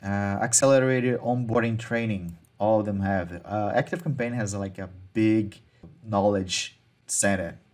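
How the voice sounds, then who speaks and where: Man walking through software features. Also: fairly low pitch, measured pace, soft background music. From Brazil. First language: Portuguese. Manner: casual, repeats words and restarts phrases, non-native phrasing. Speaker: marketing tutorial host. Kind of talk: screen-share software walkthrough.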